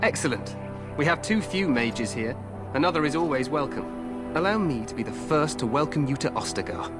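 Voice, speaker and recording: Guy doing a breezy overconfident young king, video game style, voice a bit high, music behind him. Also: animated, fairly quick, lightly acted. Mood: hearty, welcoming. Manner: hearty, grandiose, breezy. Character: boisterous, overconfident young male fantasy king. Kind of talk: fantasy video game acting.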